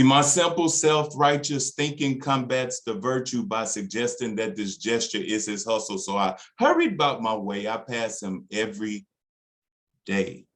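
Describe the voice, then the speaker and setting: A man reciting spoken word poetry in a deliberate, dramatic way with emphatic pauses. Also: lowish voice. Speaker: poet.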